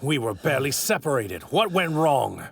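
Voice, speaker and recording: An actor playing an arrogant, wrathful god in a stolen warrior's body, with haughty, theatrical, grandiose delivery in an anime dub. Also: male voice, medium pitch, very animated, moderate pace. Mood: puzzled disdain. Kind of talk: anime dub villain acting. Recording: clearly noisy audio ripped from anime.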